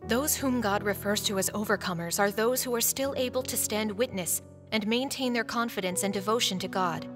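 A woman's voice: medium pitch, a little fast, close to an everyday voice. A woman acting a devout, steadfast middle-aged mother in a stage drama, speaking with earnest conviction. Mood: solemn, reverent. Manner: earnest, pleading, preaching. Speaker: stage actress. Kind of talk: earnest stage play acting, English dub. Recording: reverberant stage hall, music behind.

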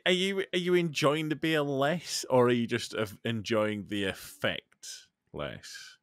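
A man's voice: mid-range voice, a little slow, fairly bright tone, no echo, clean studio sound. A man with a Cumbrian accent co-hosting a podcast, bantering with sarcastic teasing. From Cumbria, northern England. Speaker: podcast co-host. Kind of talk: casual chat. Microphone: headset and studio mic at home.

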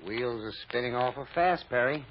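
A man's voice: mid-range voice, moderate pace, natural voice. Through the hiss of a dull old radio recording comes a man acting as an American private eye. He is a slangy, skeptical sidekick with a mid-range voice, wary and given to wisecracks. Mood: wary, warning. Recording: noisy, muffled vintage radio recording.

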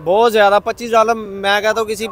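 A man's voice: high voice, little pitch movement, fast talker, fairly bright tone, soft background music. A young man explaining with disbelief in a street interview at a busy night market, into a handheld mic. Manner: incredulous, explaining. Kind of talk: street vox pop.

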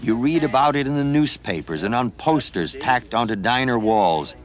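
A man reading scripted documentary narration in a measured, lyrical storytelling way. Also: medium pitch, lively intonation.

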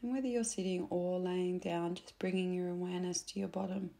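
A woman guiding a meditation in a soft, gentle, unhurried way, with phrase endings that turn breathy. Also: on the low side, fairly even intonation. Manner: gentle, unhurried, instructing softly with pauses. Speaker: meditation guide. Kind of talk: soothing guided meditation narration.

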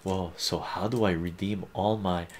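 A man's voice: low-pitched, fairly even intonation, a little slow, noisy background. An older man running a casual live-stream auction from a plant-filled room, chatty, repeating himself and laughing often. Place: sunny room full of plants, indoors.

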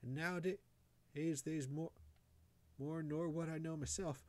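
A man's voice: a little high, steady tone, unhurried, darkish tone, no echo, pitched above the performer's own voice, close to an everyday voice. A man voicing a frightened, downtrodden rustic mother in a horror story, confiding in hesitant, faltering country dialect. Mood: fearful confiding.

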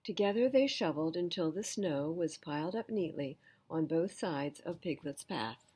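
An older woman, around her seventies, reading a children's storybook aloud in an expressive, deliberate manner. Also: low voice, a little hiss or hum. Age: older, 70s. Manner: slow, deliberate, animated reading. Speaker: children's storytime reader at a library. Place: indoors in front of full bookshelves.

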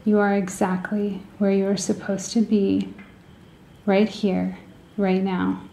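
A woman leading a guided meditation in a soothing, gentle way, with deliberate phrasing and pauses, in a roomy, echoing recording. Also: medium pitch, flat delivery, vocal fry, slight background noise.

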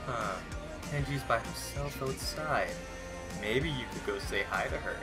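A man playing a teasing, supportive, nerdy teenage boy in an animated roleplay series. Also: medium pitch, a little slow, over loud music, natural voice. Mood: teasing, encouraging.

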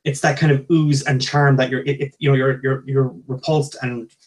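A man's voice: mid-range voice, little pitch movement, moderate pace, darkish tone. A man, chatting about books in a rambling, hesitant way that doubles back on itself. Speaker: book club panelist. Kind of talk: casual book discussion.

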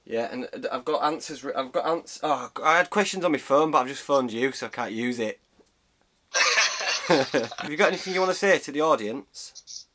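A man's voice: medium pitch, moderate pace, background noise. A British man in his thirties chatting casually and jokily with a mate, indoors in a small cabin. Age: 30s. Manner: jokey, matey. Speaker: vlogger interviewing a friend by phone. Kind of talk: casual chat, banter.

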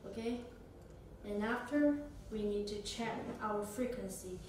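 A young woman demonstrates a product step by step, speaking in halting phrasing. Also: mid-range voice, a little mellow. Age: young adult. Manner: halting non-native English phrasing. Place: small indoor office or meeting room. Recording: echoey room, distant mic, noisy background.

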